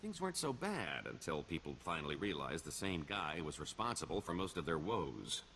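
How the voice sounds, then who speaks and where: A man's voice: low-pitched, sing-song, normal speed, vocal fry, a bit bright, a little hiss or hum, heavily acted. A man playing a roguish middle-aged career criminal in a video game, wry and boastful, with a wheedling, theatrical sarcasm. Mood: sly, persuasive.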